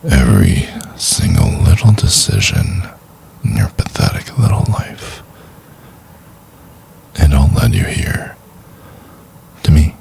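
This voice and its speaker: A man playing an obsessive, possessive stalker lover in a boyfriend ASMR roleplay, murmuring in a breathy, gravelly whisper with coaxing, condescending tenderness. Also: sits low, big pitch swings, a little mellow, slight background noise, exaggerated voice. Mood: controlling, menacing. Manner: slow, deliberate, coaxing and condescending.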